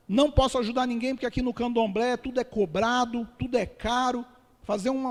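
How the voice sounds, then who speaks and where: A man with a Brazilian Portuguese accent, a pastor giving his testimony to a congregation, often calling them dear ones and brothers and slowing down for emphasis. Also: extremely high pitch. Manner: addresses listeners as dear ones and brothers, dramatic slowdowns. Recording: long hall reverb.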